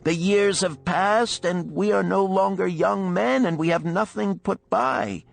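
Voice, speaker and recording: A man putting on a high, earnest questioning friend. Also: unhurried, creaky, no echo, soft background music. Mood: anxious, pleading. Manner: questioning, formal, raised-pitch earnestness. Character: earnest, doubtful male friends seeking advice on wealth. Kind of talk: dramatised storybook parable reading.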